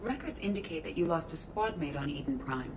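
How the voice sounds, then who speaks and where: A polite, clinical ship AI in a sci-fi game. Her voice sits low and moves briskly with analytical precision. Background noise hums behind. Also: lively intonation.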